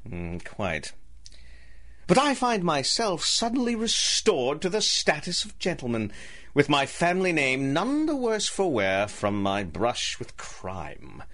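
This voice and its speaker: Theatrical, scheming gentleman villain, slow and swooping. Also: fairly high pitch, huge pitch swings, full character voice. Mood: suave, sinister. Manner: theatrical, formal, ornate speech. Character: scheming, embittered ruined gentleman villain, smooth and contemptuous. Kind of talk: 1930s-set radio drama acting.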